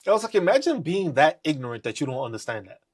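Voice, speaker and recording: A podcast host rants in a profane, confrontational way, mocking the people he argues with. His voice is a little husky and sits fairly high for a man. His pitch swings widely from line to line. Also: sing-song, fast. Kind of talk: live political rant.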